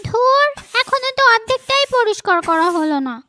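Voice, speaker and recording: Woman doing an eager high little boy. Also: fairly quick, exaggerated voice. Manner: pleading, excited childish talk. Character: young village boy, eager and playful.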